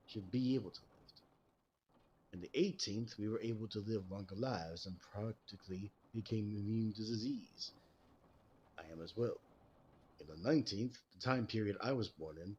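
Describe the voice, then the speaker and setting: A man with an American accent playing a calm, grave, ageless superhuman detective in a scripted roleplay, speaking formally and with measure. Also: fairly low pitch, lo-fi sound, natural voice. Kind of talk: scripted roleplay audio drama. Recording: audible background noise.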